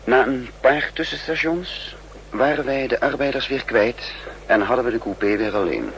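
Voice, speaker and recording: An actor playing a resolute, calculating spy leader in a deliberate, commanding 1960s radio drama style. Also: male voice, mid-range voice, a bit bright, close to an everyday voice. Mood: grave narration. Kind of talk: 1960s Dutch radio drama acting, part narration. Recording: noisy 1960s radio recording.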